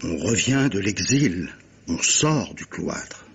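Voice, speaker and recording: Serious captain in an old french radio mystery, really husky raspy voice, formal, measured, keeps pressing his point. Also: sits low, sing-song, a bit bright, exaggerated voice. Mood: sober, probing. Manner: measured, insistent, formal address. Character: grave gentleman captain pressing a guilty woman with hypotheticals. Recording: old radio drama recording.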